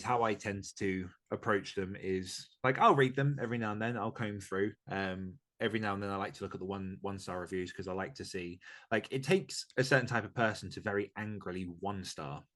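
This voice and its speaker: A man's voice: lowish voice, a little fast, few pauses, fairly bright tone. A man talking as an interview guest, giving informal, candid and emphatic advice as an author in a conversational style.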